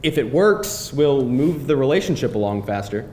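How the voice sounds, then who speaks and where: A man playing a pushy, glib boyfriend of about thirty at a live screenplay table read, with an animated, wheedling delivery. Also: moderate pace, natural voice. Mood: persuasive. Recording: roomy live hall, faint rumbling noise.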